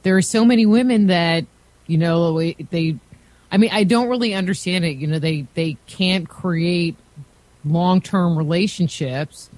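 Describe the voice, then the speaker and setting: A woman's voice: fairly low pitch, a little slow, a little mellow. A woman hosting a radio show, chatting casually with a guest in an interview.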